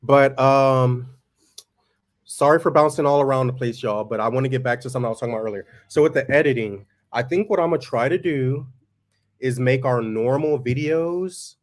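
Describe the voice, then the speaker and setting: A man with a monotone voice chatting casually to viewers as host of a family farm vlog, laid-back and folksy. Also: medium pitch, moderate pace.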